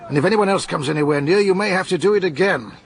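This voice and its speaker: An actor playing a sinister male conspirator, deliberate and menacing, in a radio drama. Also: high voice, a crowd in the background, background noise.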